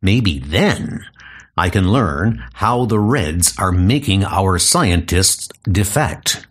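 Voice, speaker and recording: A man's voice: low-pitched, animated, slow pace, husky, heavily acted. A man playing a frail, lame doctor in a comic audio drama, earnest and self-doubting.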